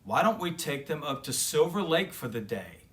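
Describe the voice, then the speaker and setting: A man voicing a gentle, sensible mother bear in a children's storybook, with patient delivery. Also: a little high, very animated, moderate pace. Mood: patient, kindly.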